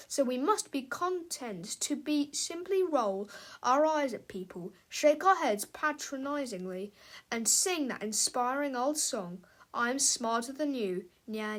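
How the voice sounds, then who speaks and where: High-voiced woman reading a children's book. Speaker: storybook reader. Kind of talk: expressive children's book narration.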